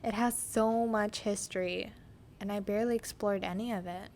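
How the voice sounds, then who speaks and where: A woman's voice: mid-range voice, steady tone, normal speed, a little mellow, slight background noise. Young woman narrating a personal podcast. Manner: reflective, personal storytelling. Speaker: podcast host. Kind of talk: scripted narration.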